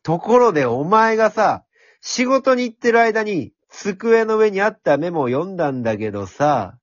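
A man voicing a brash, cheeky talking pencil in an amateur radio drama, speaking in rough, cocky, encouraging slang. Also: high voice, big pitch swings, moderate pace, no echo, muffled recording. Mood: cheeky, teasing.

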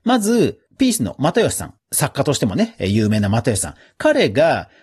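A man's voice: medium pitch, wildly swooping pitch, normal speed, darkish tone, breathy edge. A man hosting a podcast, giving chatty, casual commentary on the news in a conversational way. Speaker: podcast host.

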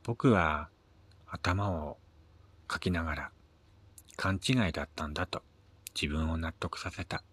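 A man narrating a first-person short story in a measured, dramatic reading with pauses. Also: rumbling low, fairly bright tone, husky. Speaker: amateur reader of his own short stories.

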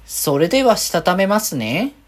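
A woman's voice: on the low side, very animated, normal speed. A woman from Aichi in Japan hosting a podcast alone, chatting casually and easygoing as she looks back on her week. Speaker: podcast host. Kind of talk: casual solo chat, recapping the week.

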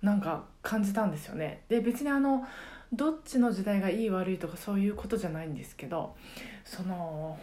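A middle-aged woman chatting casually on a solo podcast, reflective and halting as she searches for words. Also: mid-range voice. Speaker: podcast host and karaoke teacher.

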